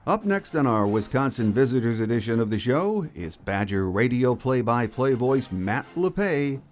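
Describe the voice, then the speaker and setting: A man's voice: mid-range voice, very animated, moderate pace, a little hiss or hum. An American man hosting a sports podcast, delivering scripted commentary and introductions.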